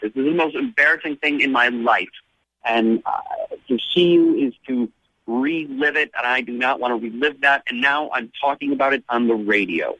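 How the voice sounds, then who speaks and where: A man talks as a reluctant guest on a radio call. His mid-pitched voice is defensive and aggrieved. The phone sound is dull and dry. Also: moderate pace, pushed and bright, dry recording.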